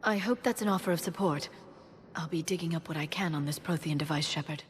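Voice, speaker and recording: A woman playing an earnest, careful researcher in a video game, explaining in a measured way. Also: low-pitched, a little hiss or hum, close to an everyday voice. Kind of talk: dramatic video game acting. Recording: echoing hall, faint background ambience.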